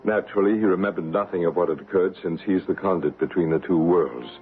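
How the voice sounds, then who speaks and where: An actor playing a solemn, deliberate male paranormal investigator who narrates and acts in an old radio mystery drama. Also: deep voice, soft background music, lightly acted. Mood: solemn narration. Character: solemn male paranormal investigator and ghost hunter named Bryce. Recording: noisy, phone-like old radio broadcast recording.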